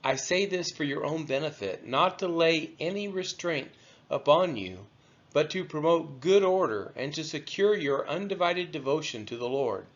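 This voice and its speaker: A man reading scripture aloud from a text, with measured, emphatic phrasing. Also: a little high, lively intonation, muffled recording. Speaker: scripture reader.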